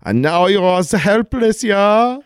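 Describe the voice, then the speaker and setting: Radio-play villain: fussy gloating German man, very high voice, wildly swooping delivery. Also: lively intonation, slow pace, clean tone, no room sound, clean studio sound. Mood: smug, gleeful. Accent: put-on German. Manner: theatrical, hesitant, over-explaining gloating. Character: gleeful, fussy German villain man, scheming and long-winded. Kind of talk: comic 1940s-style radio play acting.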